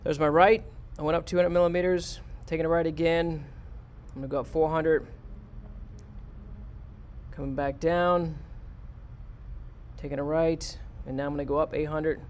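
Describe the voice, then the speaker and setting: A man's voice: fairly high pitch, fairly even intonation, normal speed, long pauses, slight background noise. Man teaching a coding lesson over a screen capture. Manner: plain, step-by-step, talks students through it.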